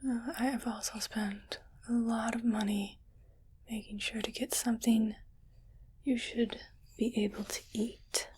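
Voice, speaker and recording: A woman playing a condescending, curious rich alien in a slow, breathy ASMR whisper, deliberate and detached. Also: a little high, level tone, a little mellow, slight background noise, close to an everyday voice. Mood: curious, fussy.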